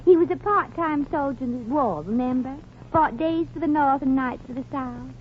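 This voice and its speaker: A woman's voice: sits high, normal speed, lightly acted. A woman playing a gushing, folksy Southern belle superfan in a 1940s radio comedy, cooing with an exaggerated Southern American accent. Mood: gushing, syrupy. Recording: muffled, hissy 1940s radio recording.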